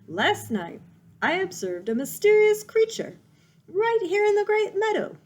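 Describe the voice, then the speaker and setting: A high, shrill old owl, put on by a woman reading aloud, bossy and quick to panic. Its hooting lines come out loud and piercing, lurching up and down in pitch. A storybook creature voice. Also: very animated, heavily acted. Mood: excited.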